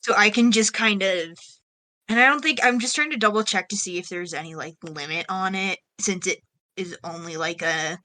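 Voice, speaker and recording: A woman's voice: mid-range voice, moderate pace, sharp tone. A woman playing a tabletop game, chatting casually and rambling as she reasons out the rules aloud. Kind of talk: casual chat.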